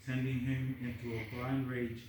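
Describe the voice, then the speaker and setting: A man's voice: mid-range voice, level tone, normal speed, far from the mic. A man reading screenplay stage directions aloud as the narrator at a table read. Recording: echoey room, off-mic, faint noise.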